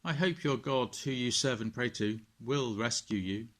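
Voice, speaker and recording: A man's voice: mid-range voice, normal speed, natural voice. Devout, worried monarch for a children's storybook, earnest and declaiming, male reader acting it out. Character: King Darius, kindly, anxious, devout male ruler. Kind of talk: children's Bible storybook reading.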